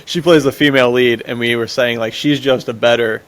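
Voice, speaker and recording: A man's voice: mid-range voice, fairly even intonation, brisk, a bit bright. A man co-hosting a podcast in casual, slangy chat. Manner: informal, slangy. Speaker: podcast co-host.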